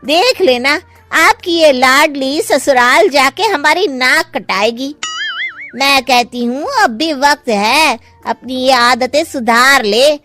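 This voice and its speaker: A woman voicing an exasperated middle-aged mother who nags and scolds in an animated family story. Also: sits high, takes it slowly, faint music behind, exaggerated voice. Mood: exasperated scolding. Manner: nagging. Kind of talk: animated moral story voice acting.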